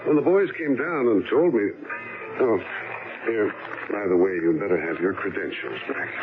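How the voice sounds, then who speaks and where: An actor playing a calm, polite older man who ran away, in 1950s radio drama style. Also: on the low side, moderate pace, over music, lightly acted. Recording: lo-fi phone-like old radio with hiss.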